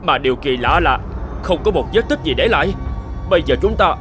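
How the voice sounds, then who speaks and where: A man's voice: animated, brisk, over music, close to an everyday voice. A man voicing an alarmed, deferential servant of the gods pleading in a cartoon fairy tale. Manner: alarmed, pleading, high-strung.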